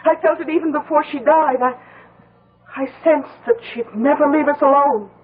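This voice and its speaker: An actress playing a fearful, fierce, superstitious daughter-in-law in a 1970s radio drama, intense and ominous. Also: female voice, high-pitched, moderate pace, narrow, tinny audio, close to an everyday voice. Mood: dread. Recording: band-limited old radio broadcast.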